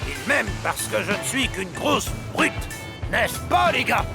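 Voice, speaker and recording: Blustery teasing train villain for a kids cartoon, voice jumps all over, bit gravelly. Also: male voice, high voice, sing-song, unhurried, loud music behind the voice, exaggerated voice. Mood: gleeful, bullying. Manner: theatrical, teasing, mocking. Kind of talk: children's cartoon dubbing.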